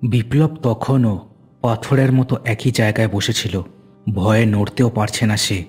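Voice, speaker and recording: Low-voiced male horror story narrator. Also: fairly even intonation, a little slow, a little mellow, slightly rough. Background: music.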